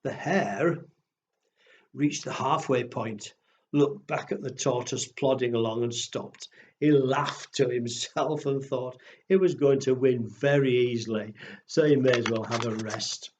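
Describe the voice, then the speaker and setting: Male storyteller for pre-schoolers, mid-range pitch, slow deliberate delivery, slightly dull sound. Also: fairly even intonation, darkish tone, slight background noise. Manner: slow, deliberate, addressing small children with questions. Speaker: children's storyteller. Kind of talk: storytime for pre-school children, simple narration and rhymes.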